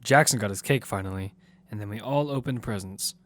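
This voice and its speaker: A man's voice: lowish voice, a little fast, lightly acted. A man playing a protective single father in an audio drama, both narrating and speaking in scenes. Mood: reflective narration. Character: Damian, protective single father of a six-year-old girl. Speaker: narrator and lead actor. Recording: loud music under the voice. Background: music.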